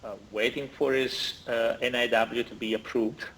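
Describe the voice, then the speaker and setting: A man's voice: mid-range voice, little pitch movement, moderate pace, fairly bright tone, breathy edge, poor audio. A man in his forties from Italy with an Italian accent, chatting as an interview guest over a video call, hesitant with many fillers and restarts. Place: indoor room. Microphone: laptop or phone camera on video call.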